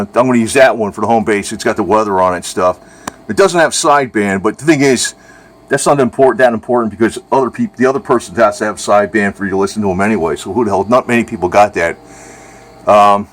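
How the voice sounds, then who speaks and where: An average-pitched man gives a casual, rambling review, as if for a home video. His talk is colloquial and slangy, loosely strung together, and it is recorded indoors. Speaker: video creator.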